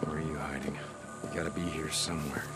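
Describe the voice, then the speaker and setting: A man's voice: a bass voice, little pitch movement, fast, over loud music. A man voicing a rugged, wry and protective American biker in a video game, casual and understated. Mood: gentle, searching. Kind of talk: naturalistic video game cutscene acting.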